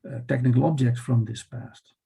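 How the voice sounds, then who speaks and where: A man's voice: mid-range voice, steady tone, normal speed, vocal fry, dark tone, breathy edge. A man with a Dutch accent explaining philosophy in conversation, thoughtful and hesitant, often restarting and checking with "right?". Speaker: philosopher guest.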